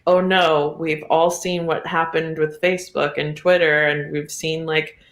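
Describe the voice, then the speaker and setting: A woman speaks slowly in casual podcast chat. Her delivery is halting and wry. The recording is roomy, with clear echo around the voice. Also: low-pitched, flat delivery. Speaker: podcast guest. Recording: roomy, echoey sound.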